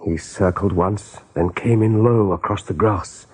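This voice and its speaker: A man narrating a radio play as a dramatic storyteller, with a mellow, husky voice. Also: on the low side, measured pace.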